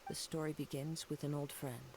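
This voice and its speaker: Creaky, soft-spoken wandering samurai played by a man. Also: fairly high pitch, steady tone, a little hiss or hum, lightly acted, rough recording. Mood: solemn, wistful. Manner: measured, poetic, formal phrasing. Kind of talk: video game character dialogue. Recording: game audio under loud music.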